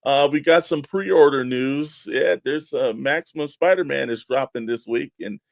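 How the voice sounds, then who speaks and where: A man's voice: medium pitch, normal speed, creaky, dry recording. A man co-hosting a toy collecting radio show in casual chat, with informal, laid-back buddy banter.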